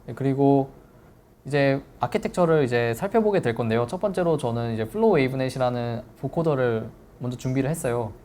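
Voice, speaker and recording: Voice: medium pitch, animated, fairly quick, warm tone. A lecturer giving a technical seminar, explaining step by step and checking back with listeners.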